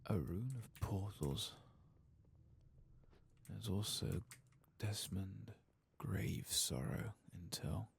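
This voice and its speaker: A man giving soft-spoken ASMR commentary on a video game, in a breathy voice that often drops to a whisper. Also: on the low side, drawn-out words.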